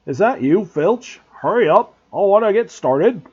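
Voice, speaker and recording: A big gruff gamekeeper bellows in a raised, rough country way, growling his words and clipping his endings, voiced by a man reading aloud. The voice sits higher and louder than the narration and carries a husky grain. The recording is dull and lo-fi. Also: animated, moderate pace. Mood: impatient. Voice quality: gruff, growling, loud, rustic speech. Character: huge gruff rough-spoken male gamekeeper.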